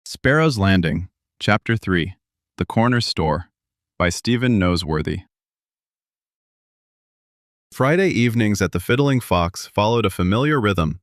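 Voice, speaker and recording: A man reading a story aloud in a calm, measured narration. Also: on the low side, dry recording, clean studio sound. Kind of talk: scripted audiobook narration.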